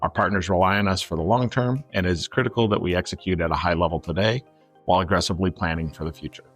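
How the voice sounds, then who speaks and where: A man delivers a scripted sponsor message in a confident, corporate tone. His voice is very low and he moves quickly. Faint music plays beneath him. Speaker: sponsor spokesman. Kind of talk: scripted ad read.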